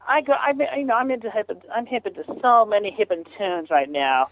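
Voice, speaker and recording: A man on a phone call, talking haltingly with many fillers and restarts. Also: high voice, sing-song, a little hiss or hum. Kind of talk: rambling phone conversation. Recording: tinny phone line.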